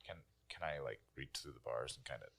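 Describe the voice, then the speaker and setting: Man, extremely low pitch, gravelly creak, tabletop game player, casual chat. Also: fairly quick, fairly bright tone.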